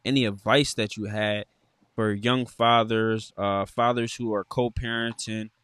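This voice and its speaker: A man hosting a casual interview podcast, laid-back and halting, with slangy phrasing. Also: lowish voice, fairly even intonation, fairly bright tone, clear, resonant tone, no room sound.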